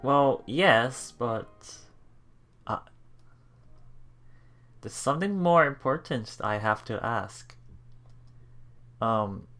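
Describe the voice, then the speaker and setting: A man hosting a let's play, reading game text aloud with casual, halting reactions and repeated words. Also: mid-range voice, lively intonation, fairly bright tone. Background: game music.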